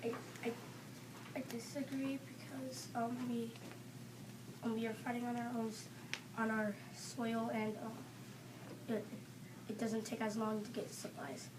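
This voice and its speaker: A woman's voice: fairly high pitch, little pitch movement, measured pace, pauses often. A young female student with stammering restarts, arguing in a classroom debate. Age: child or young student. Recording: distant mic, clearly noisy room.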